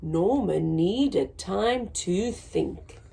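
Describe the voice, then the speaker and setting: A woman hosting a children's story time, reading a picture book aloud in an expressive, sing-song way for small children. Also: mid-range voice, extremely slow, creaky, husky.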